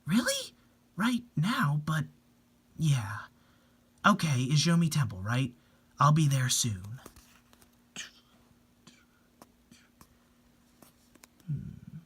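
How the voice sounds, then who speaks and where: A man's voice: on the high side, huge pitch swings, takes it slowly, pauses often, fairly bright tone, husky, heavily acted. A man voicing an anxious, curious teenage schoolgirl who is easily startled and hesitant, in a story reading.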